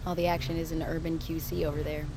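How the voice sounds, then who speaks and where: An actress playing a capable ex-Navy diver piloting a submersible in an audio drama, with clipped procedural callouts. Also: female voice, deep voice, level tone, fast, background noise. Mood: calm, dry. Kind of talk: audio fiction drama acting.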